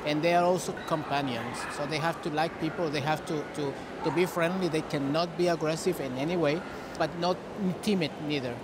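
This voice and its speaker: A man's voice: fairly high pitch, fairly even intonation, a little fast, very noisy. A middle-aged man with a Costa Rican Spanish accent, a native Spanish speaker, giving conversational interview answers as a dog breeder. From Costa Rica. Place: large busy indoor dog show hall. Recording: busy echoing show hall din. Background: hall ambience and bustle.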